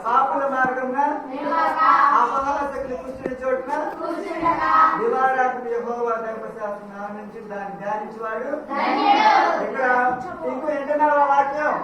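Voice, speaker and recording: A woman hosting a children's puppet show as a Bible teacher, instructing and gently scolding. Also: high voice, fairly even intonation, normal speed, pauses often, over a noisy crowd. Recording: echoey hall from far off, noisy.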